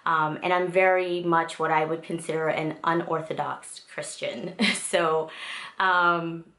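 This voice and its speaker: A woman in her thirties, born in South Korea and raised in the States, talks to her camera in a low, easy voice. She rambles through a get-to-know-me vlog, full of ums and restarts. She records in her husband's music studio at home. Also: little pitch movement, a little slow, fairly bright tone. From South Korea, raised in the United States. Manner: rambling and chatty, many fillers and restarts. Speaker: vlogger. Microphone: camera in front of her.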